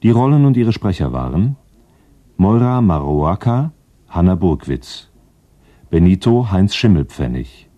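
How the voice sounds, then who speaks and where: A man's voice: low voice, sing-song, measured pace, warm tone. A man reading formal scripted closing credits as a radio announcer.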